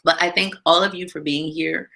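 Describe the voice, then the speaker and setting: A woman hosting a live stream from home, chatting casually with lots of fillers and a big, loud voice. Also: sits low, fairly even intonation, normal speed, gravelly. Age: adult with a daughter. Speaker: live stream show host. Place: home, not her usual studio.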